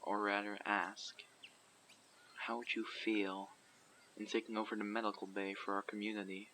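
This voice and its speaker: A man's voice: on the low side, level tone, bright, forceful tone, slight background noise. A man playing a guarded survivor leader. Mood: calm, probing. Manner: measured, probing, matter-of-fact. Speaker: community leader questioning a newcomer. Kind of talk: audio roleplay. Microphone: USB condenser microphone.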